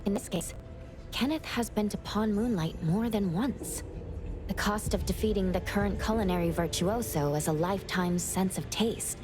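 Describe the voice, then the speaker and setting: A woman's voice: medium pitch, over music, natural voice. Woman acting a composed, analytical young investigator woman. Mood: pensive. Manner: measured, deliberate reasoning aloud. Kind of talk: video game character acting.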